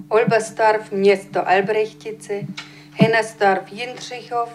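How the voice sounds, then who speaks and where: A woman in a radio play performing a sober, deliberate, list-like recitation of village names. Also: mid-range voice, slight background noise, close to an everyday voice, rough recording.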